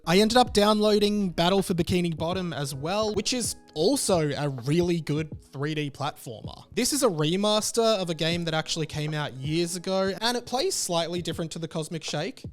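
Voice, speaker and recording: Higher-pitched enthusiastic man narrating a video essay. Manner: conversational, enthusiastic, emphatic. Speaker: video creator and host. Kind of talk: semi-scripted video essay narration to camera.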